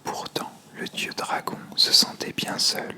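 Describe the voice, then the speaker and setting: A storyteller narrating a fable in a hushed, measured ASMR whisper.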